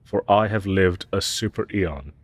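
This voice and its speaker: A man's voice: low-pitched, breathy edge, dry recording. A man playing a haughty, ancient dragon professor in a roleplay audio, speaking in archaic, formal and condescending language. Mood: mocking superiority. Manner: pompous, archaic, formal diction; disdainful and deliberate. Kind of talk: roleplay audio drama, scripted monologue.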